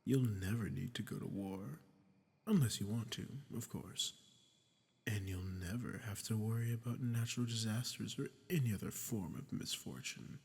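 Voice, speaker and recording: A man playing a smug, dominant archdemon in a roleplay audio drama, teasing and condescending, with theatrically drawn-out phrasing and a husky, breathy voice. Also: fairly low pitch, darkish tone, in a large hall. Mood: smooth persuasion. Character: smug, dominant male archdemon toying with humans.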